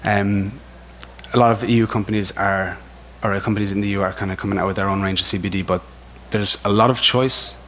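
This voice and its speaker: A man's voice: fairly low pitch, fairly even intonation, brisk, a bit bright. A man with an Irish accent speaking informally and enthusiastically as a retailer on a panel, repeating himself for emphasis. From Ireland. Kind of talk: informal spoken presentation. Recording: noticeable background noise.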